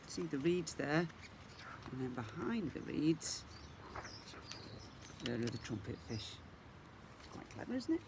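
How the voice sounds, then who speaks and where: A man reading a picture book expressively as a teacher for young children, pausing to ask listeners questions. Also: on the high side, very animated, gravelly, noisy background. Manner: questioning, engaging asides to listeners.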